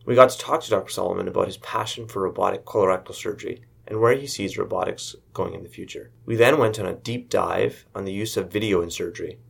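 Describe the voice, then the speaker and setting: A man reading a podcast introduction at a brisk clip. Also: deep voice, vocal fry, slightly rough. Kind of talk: read introduction.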